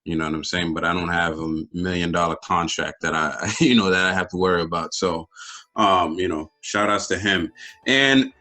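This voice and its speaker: A man's voice: low-pitched, moderate pace, pushed and bright. A man co-hosting a sports podcast, talking casually in a laid-back way. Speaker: podcast co-host. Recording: faint music behind.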